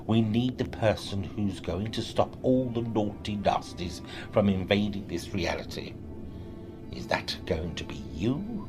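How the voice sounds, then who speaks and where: An actor playing a sly, cajoling companion in an audio drama, theatrical and swinging between hushed and emphatic delivery. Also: male voice, lowish voice, expressive, unhurried, music in the background.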